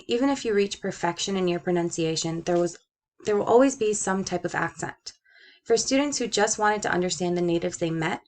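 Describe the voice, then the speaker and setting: A young woman in her twenties, from Puerto Rico, with a slight Brooklyn-tinged American accent, earnestly narrating her own story as a teacher. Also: mid-range voice. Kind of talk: personal narration read from a script.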